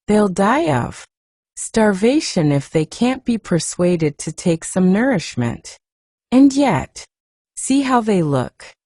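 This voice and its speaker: A woman's voice: mid-range voice, sing-song, takes it slowly, mellow, dry recording, studio quality. A woman voicing a fretful, worried female nurse in a storybook, complaining with concern. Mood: worried, baffled.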